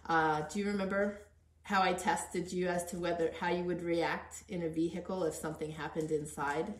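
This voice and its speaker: A woman's voice: fairly low pitch, fairly even intonation, moderate pace, echoey room. A middle-aged woman hosting a casual conversational interview in an encouraging manner. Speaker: podcast host interviewing her son.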